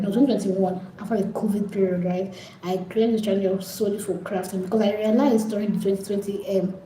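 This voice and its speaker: A woman's voice: mid-range voice, dark tone, roomy sound, a little hiss or hum, rough recording. A Nigerian woman hosting a casual live stream, pleading and repetitive as she talks straight to her viewers.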